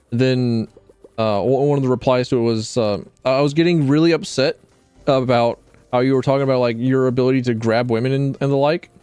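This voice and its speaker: A man's voice: medium pitch, a little mellow, clean tone, no room sound. A man streaming a video game, chatting casually in a rambling, wry way and often correcting himself. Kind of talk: casual gameplay commentary.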